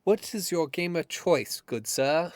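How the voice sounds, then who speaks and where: Blunt, animated young guy played by a man. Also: fairly high pitch, measured pace. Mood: easygoing. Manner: casual, blunt, swearing. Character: grumbling but committed young man among haunted housemates. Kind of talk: naturalistic audio drama dialogue. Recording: music under the dialogue. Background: music.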